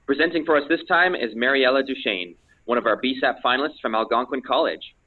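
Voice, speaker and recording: A man's voice: mid-range voice, fairly quick, narrow, tinny audio. A man hosting a webinar, making friendly, orderly introductions from a script. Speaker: webinar host. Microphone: video call.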